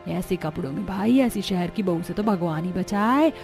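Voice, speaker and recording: A woman's voice: on the low side, normal speed, over music, close to an everyday voice. A woman playing a judgmental middle-aged village aunty in a cartoon, gossipy and disapproving. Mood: scornful. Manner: scandalized, disapproving.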